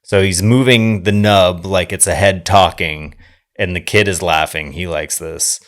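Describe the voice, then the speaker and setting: A man with a notably low voice talks as a podcast co-host. His delivery is casual and exploratory, and he stops to question his own wording as he describes things. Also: steady tone, measured pace, a bit bright. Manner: searches for words, checks terms aloud. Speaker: podcast co-host discussing films. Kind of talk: casual conversational film recap.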